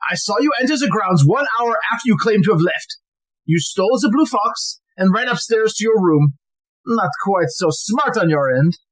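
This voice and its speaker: An actor playing a cunning, smug blackmailer in an audio play, insinuating and coolly threatening. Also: male voice, sits high, animated, moderate pace, a bit bright, low-quality recording. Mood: smug, accusing. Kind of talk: stage-like audio drama acting.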